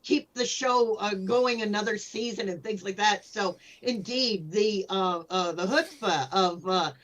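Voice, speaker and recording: A woman's voice: mid-range voice, unhurried, fairly bright tone. A woman speaking as a panelist in a casual discussion, thoughtful and a little hesitant in her phrasing.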